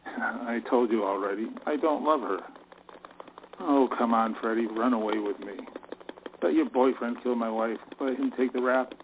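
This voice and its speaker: An actor playing a callous, scheming banker husband in an audio play, persuasive and coaxing. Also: male voice, medium pitch, normal speed, natural voice. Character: callous, pleading, scheming bank-manager husband. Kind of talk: radio-play style acting. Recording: noisy, tinny phone-like line with clicks.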